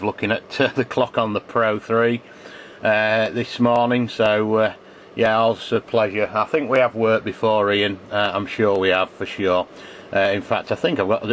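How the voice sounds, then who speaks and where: A man chatting casually as an amateur radio operator, giving callsigns in the phonetic alphabet. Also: fairly low pitch. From England. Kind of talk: casual ham radio contact chat. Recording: muffled shortwave radio contact, faint static.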